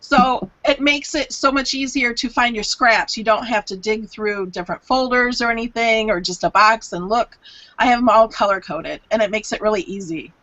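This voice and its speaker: Chatty woman co-host, slightly high voice, lo-fi stream audio. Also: moderate pace, sharp tone. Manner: chatty, shares personal anecdotes. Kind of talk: live online craft show chat.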